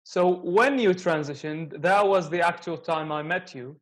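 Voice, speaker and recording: A man's voice: on the high side, unhurried, a bit bright. Joking young man hosting an interview. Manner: friendly, joking, laughs easily. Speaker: interview host. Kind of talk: casual interview chat.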